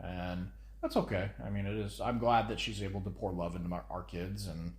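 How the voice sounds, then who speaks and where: A man's voice: low-pitched, steady tone, brisk, crowd noise. A man in his thirties co-hosting a casual parenting podcast, with a commanding voice that carries. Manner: commanding, carrying voice. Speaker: podcast co-host, father. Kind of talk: casual chat. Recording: echoey room.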